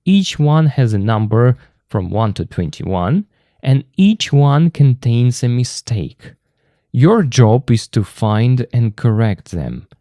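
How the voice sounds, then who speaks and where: A man with a Russian accent teaching English grammar from a textbook, explaining slowly and patiently and repeating the key terms. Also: mid-range voice, big pitch swings, warm tone, no echo, studio quality.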